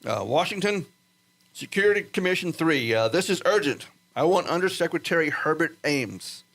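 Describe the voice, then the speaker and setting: Actor as anxious male official, urgent, pleading, lively range, raised pitch, radio drama. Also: moderate pace.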